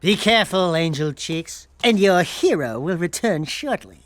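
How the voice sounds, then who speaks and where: A man's voice: high-pitched, lively intonation, measured pace, fairly bright tone, no echo, faint music behind. A man voicing a cocky, wisecracking little animal sidekick in a comic video game, boastful and theatrical. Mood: smug.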